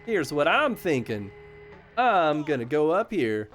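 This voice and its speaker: A man streaming a horror game live, chatting casually and yelling excitedly at the action. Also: a little high, measured pace, faint music behind. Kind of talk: live gameplay commentary.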